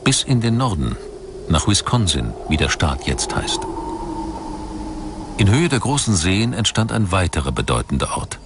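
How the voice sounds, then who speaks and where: A man reading scripted documentary narration in a measured, articulate way. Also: low voice, very animated, noisy background.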